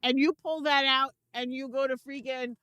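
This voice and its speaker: A woman's voice: high voice, fairly even intonation, normal speed, fairly bright tone, clear, resonant tone, dry recording. A woman from Brooklyn with a Brooklyn accent, chatting loosely on an internet radio show, restarting her sentences often. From Brooklyn, New York. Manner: chatty, with frequent restarts and fillers. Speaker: co-host.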